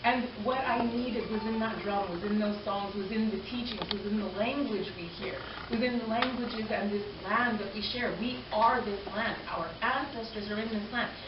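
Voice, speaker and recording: A woman's voice: mid-range voice, fairly even intonation, moderate pace, few pauses. A woman in her forties who grew up in Canada, speaking earnestly and from the heart to a gathering, repeating phrases for emphasis. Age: 40s. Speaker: panel speaker. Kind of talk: personal talk to a gathering. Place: community arts event hall. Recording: echoey room, distant mic, dull sound, background noise.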